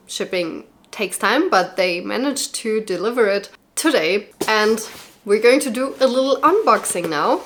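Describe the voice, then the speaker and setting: A young woman talks straight to camera in a casual vlog, her voice still touched by a lingering cold. She gushes with excitement and leans on plenty of fillers as she chats. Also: mid-range voice, a little hiss or hum. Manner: gushing and excitable, with frequent fillers. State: recovering from a cold. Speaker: book vlogger.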